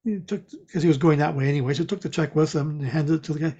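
A woman with a husky voice in casual conversation, telling things in a measured, rambling way. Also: low-pitched, warm tone, dull audio. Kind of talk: casual chat.

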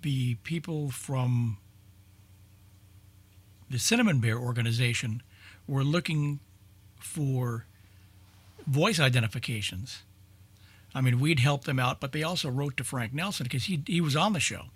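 Man, husky voice, dry close sound, casual group chat, halting recollection. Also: mid-range voice, dry recording. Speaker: radio show panelist. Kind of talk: casual group chat about old-time radio.

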